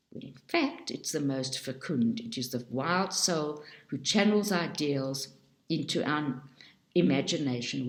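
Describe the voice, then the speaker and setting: Low-voiced woman reading aloud, echoey room. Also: a little mellow. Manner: slow, careful reading with frequent self-corrections. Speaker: reader of a book for a study group.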